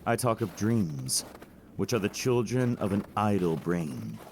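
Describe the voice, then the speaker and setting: A witty, feverishly fanciful young man, played by a male actor in a Shakespearean audio play. He takes his time, teasing and theatrical, his lowish voice swinging widely in pitch as he spins a tale. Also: very animated, measured pace, darkish tone, a little hiss or hum. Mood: wistful. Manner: theatrical, storytelling, swooping delivery.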